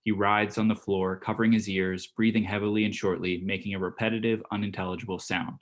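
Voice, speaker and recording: A man's voice: lowish voice, little pitch movement, quick pace. A man reading stage directions as scripted narration for a play excerpt.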